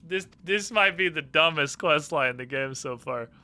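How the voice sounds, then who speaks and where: A man livestreaming a game with lively, sarcastic, irreverent commentary and plenty of swearing. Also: fairly high pitch, normal speed, clear, resonant tone. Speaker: livestreamer playing an online role-playing game. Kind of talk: lively gameplay commentary with mock dialogue readings.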